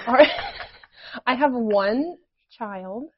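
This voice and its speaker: A woman's voice: fairly high pitch, husky, no room sound, highs cut off. A woman in her twenties from the United States, co-hosting a casual podcast chat in a giggly, laid-back way. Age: 20s. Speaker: podcast co-host.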